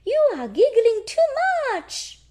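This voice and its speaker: A woman's voice: extremely high pitch, huge pitch swings, slow pace, warm tone, pitched above the performer's own voice, heavily acted. A woman voicing a playful, bossy little girl sheep in a children's storybook, explaining and chiding.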